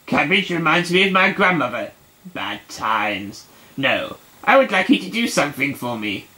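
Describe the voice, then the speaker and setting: A bright, swooping voice for an imperious emperor puppet played by a man. He is plummy, haughty and petulant, giving orders in a comic puppet show. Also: on the high side, very animated, moderate pace, full character voice. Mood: imperious, scheming.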